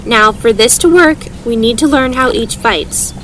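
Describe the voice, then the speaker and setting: A woman's voice: on the high side, measured pace, a bit bright, a little hiss or hum, poor audio. A woman playing a determined, earnest young female mage leader in an audio drama, calling out firm instructions to a group. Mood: firm, instructing.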